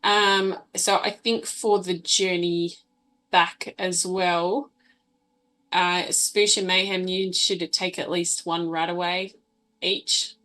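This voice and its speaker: A woman's voice: fairly low pitch, level tone, slow, bright, forceful tone, poor audio. An Australian woman chatting casually as a player in a tabletop roleplaying game. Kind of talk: casual chat.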